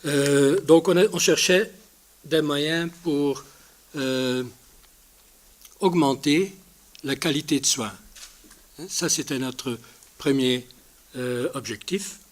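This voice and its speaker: A man, a native Dutch speaker with a Dutch accent, giving a conference presentation in a halting way, searching for his words. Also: a little high. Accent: Dutch-speaking, non-native French. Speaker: conference speaker from a healthcare organisation.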